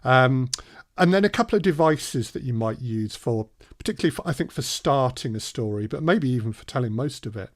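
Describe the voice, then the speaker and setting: Male workshop presenter, producer type, slightly low-pitched voice, explanatory and halting delivery, recorded dry with very little room sound. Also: expressive, dry recording. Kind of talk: informal spoken workshop presentation.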